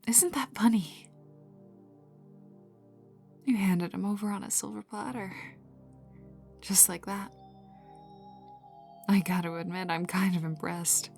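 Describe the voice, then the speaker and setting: A woman voicing a tough, bitter, sardonic young female villain in an intimate ASMR audio roleplay, often dropping into breathy half-whispers and swearing. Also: medium pitch, fairly even intonation, slow, pauses often, a little mellow. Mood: cold, mocking. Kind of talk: intimate ASMR roleplay, one side of dialogue. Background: ambient music.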